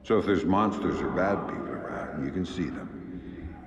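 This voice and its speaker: An actor as a gruff American secret agent and tender father in a video game. His voice is extremely deep and gravelly, with a quiet, measured delivery. It sounds roomy, with heavy noise behind it. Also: dull audio, close to an everyday voice. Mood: soothing.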